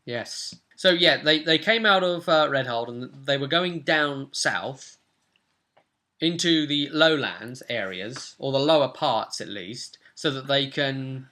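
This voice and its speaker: A man's voice: mid-range voice, lively intonation, slow, pushed and bright. A young man casually recapping a tabletop role-playing session as game master. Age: young adult. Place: small furnished room at home.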